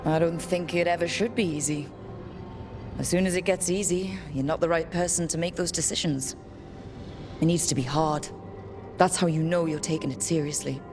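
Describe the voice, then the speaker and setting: A confident young female leader played by an actress in a fantasy game. Her voice is slightly low and steady. She sounds calm, wry and reassuring, with music playing clearly behind. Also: moderate pace, lightly acted. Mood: earnest. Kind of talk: dramatic video game acting.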